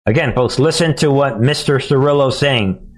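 A man's voice: medium pitch, moderate pace. A male host giving combative, scornful commentary over news clips and talking straight to his listeners. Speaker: show host.

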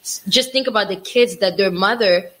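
A woman's voice: mid-range voice, moderate pace, a bit bright, poor audio. A woman from Africa co-hosting a casual talk show, speaking with passion and repeating phrases for emphasis. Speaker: co-host. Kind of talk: casual opinion chat.

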